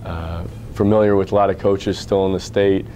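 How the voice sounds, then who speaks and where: Low, even-toned American man. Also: normal speed, a little hiss or hum. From Illinois, United States. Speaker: assistant basketball coach. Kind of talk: on-camera interview about his career. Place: indoor athletics office, seated before camera.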